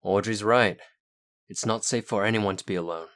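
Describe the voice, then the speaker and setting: A man's voice: lowish voice, normal speed, a bit bright, lightly acted. A man playing a grieving, defiant young werewolf heir in an earnest, intense roleplay drama. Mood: grave, wary.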